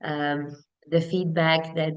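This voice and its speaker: Woman in her thirties, Bosnian accent, fairly low clear voice, earnest interview answers, repeats words as she goes. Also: little pitch movement, slow, lo-fi sound. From Bosnia and Herzegovina. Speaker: HR business partner, webinar guest. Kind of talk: conversational webinar interview answers.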